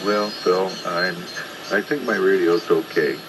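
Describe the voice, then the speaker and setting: Very heavy noise surrounds a low-pitched man on a shortwave ham radio transmission. He is an operator talking off the cuff, halting and understated, with polite wording. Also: steady tone. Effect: shortwave single-sideband radio transmission. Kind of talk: unscripted on-air exchange.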